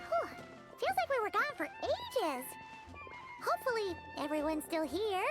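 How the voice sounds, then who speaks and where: Game companion: tiny fussy girl, extremely high and squeaky, animated, over loud music. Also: measured pace, full character voice. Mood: relieved. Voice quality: squeaky, childlike. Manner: exclamatory, fussy. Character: small chirpy childlike floating companion girl. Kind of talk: video game voice acting.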